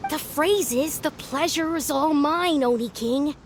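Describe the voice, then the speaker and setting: High-voiced, knowing young gang companion. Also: female voice, unhurried, slight background noise. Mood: correcting. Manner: matter-of-fact, instructive. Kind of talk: video game voice acting.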